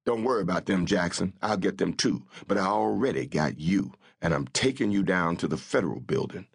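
Male narrator as a tough lawman, husky, commanding. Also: low-pitched, lively intonation, fairly quick, full character voice. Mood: stern. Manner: commanding, threatening. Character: stern tough male federal marshal.

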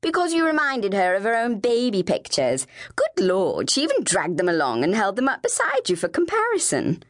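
A woman's voice: mid-range voice, huge pitch swings, normal speed, dry recording, clean studio sound. A woman voicing a haughty, cruel teenage older sister in an audiobook, disdainful and taunting. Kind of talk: audiobook character dialogue.

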